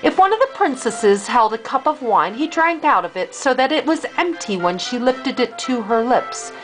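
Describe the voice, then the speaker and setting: An older woman reads a fairy tale aloud as a grandmother would to a child. Her voice is high and swings expressively through the sentences. Faint music sits behind the reading. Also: big pitch swings, normal speed, poor audio.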